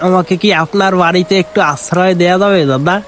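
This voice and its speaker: A man voicing a poor, humble duck seller who speaks meekly and pleads slowly. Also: high-pitched, a bit bright, soft background music. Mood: pleading. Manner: meek, pleading, slow.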